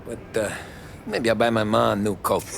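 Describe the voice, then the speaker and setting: An actor playing a modest, loyal young 1930s mob driver, speaking in a laconic, understated way. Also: male voice, fairly low pitch, fairly even intonation, moderate pace, vocal fry, background noise. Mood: modest, low-key.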